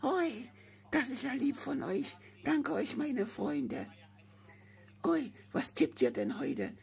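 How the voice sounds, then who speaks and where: A woman's voice: fairly high pitch, wildly swooping pitch, slow, gravelly, darkish tone, full character voice. A woman voicing a sweet, sniffly cheese worm puppet, coughing in a homemade puppet play. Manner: singsong, swooping, cosy. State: has a cold, coughing.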